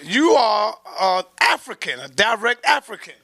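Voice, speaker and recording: A man's voice: high voice, big pitch swings, moderate pace, pushed and bright, no room sound. A man in a casual chat, teasing and challenging the other speaker.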